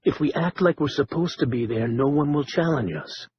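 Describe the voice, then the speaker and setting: A man voicing a calm, guarded male leader who speaks low and measured. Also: breathy edge, lo-fi sound.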